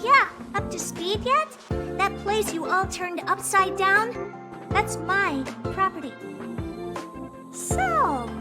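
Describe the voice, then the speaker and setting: A haughty female genius from a video game, very high in pitch and lively in intonation. She is blunt, dismissive and thoroughly pleased with her own brilliance. Music plays clearly behind her. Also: full character voice. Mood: indignant, haughty, then fascinated, curious. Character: haughty, blunt, self-important female genius, owner of a space station. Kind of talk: English video game dub acting.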